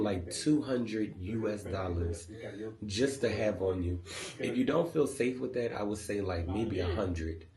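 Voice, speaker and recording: A man vlogging, telling a casual travel story in an animated, rambling way full of fillers. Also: lowish voice. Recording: echoey room with music behind.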